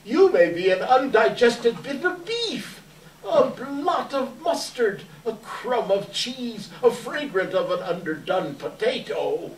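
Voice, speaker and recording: Stage reader as frightened old skinflint, bluffing and pleading, slow delivery with wide swings, recorded far off in a live room. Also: mid-range voice, sing-song. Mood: wary, defiant. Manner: theatrical, halting, pleading. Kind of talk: readers theater stage reading of a classic story. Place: indoor live event room. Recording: echoey room, distant mic.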